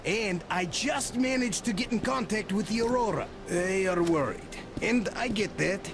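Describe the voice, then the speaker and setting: An actor playing an excited male comrade who shouts from a distance. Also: high-pitched, moderate pace, background noise. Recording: music behind, voice far from mic.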